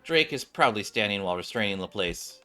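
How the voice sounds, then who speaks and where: A man's voice: fairly low pitch, expressive, brisk, pushed and bright, soft background music. A man streaming a game and reading its story aloud, now and then stumbling and correcting himself. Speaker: gaming streamer.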